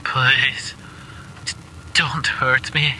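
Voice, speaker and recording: Noisy ambience behind a guy acting a scared man begging for his life, really strained, very slow, whimpering. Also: medium pitch, flat delivery, sharp tone, heavily acted. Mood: terrified pleading. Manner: pleading, stammering, whimpering. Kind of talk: audio drama acting.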